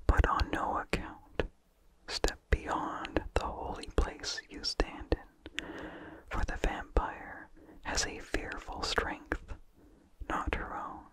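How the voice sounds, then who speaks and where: An ASMR reader delivers a vintage horror tale entirely in a breathy whisper, with no voiced tone at all. The pace is very slow and deliberate, with pauses between short phrases. Soft mouth sounds come through between the words. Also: rough recording. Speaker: story reader.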